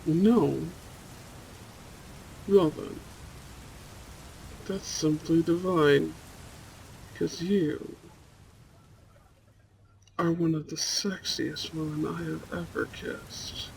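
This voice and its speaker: Man acting as a slow, creaky-voiced, tender vampire. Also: on the high side, steady tone, heavily acted, poor audio. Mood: tender, flirtatious. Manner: soothing, breathy murmurs, often dropping to whispers. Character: handsome male vampire, tender, flirtatious, protective. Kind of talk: roleplay ASMR, intimate and soft-spoken. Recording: faint storm noise behind. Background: rain and thunder.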